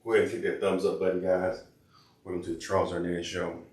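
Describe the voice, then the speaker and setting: Hoarse deep-voiced man rambling. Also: little pitch movement, quick pace, a little mellow. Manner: rambling, full of fillers and restarts. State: hoarse, losing his voice. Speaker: channel host. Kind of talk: casual rambling vlog talk. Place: indoors in a room. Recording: echoey room, mic at a distance, faint noise.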